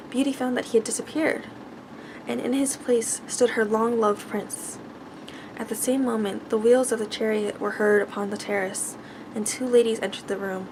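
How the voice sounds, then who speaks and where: A soft-spoken woman reading a bedtime story in ASMR style, stumbling now and then over the words. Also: medium pitch, noisy background.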